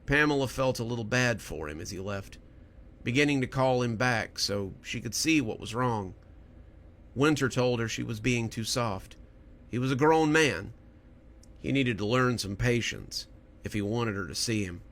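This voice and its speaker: Expressive man hosting horror stories. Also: medium pitch, moderate pace. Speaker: horror story narrator and channel host. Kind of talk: scripted horror storytelling narration.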